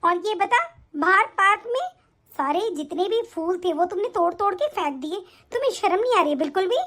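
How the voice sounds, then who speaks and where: A bossy middle-aged aunt who nags and scolds without pause, her high voice running quick and sharp, as a woman plays her. She keeps up a steady stream of complaint. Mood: scolding. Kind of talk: comic family skit acting.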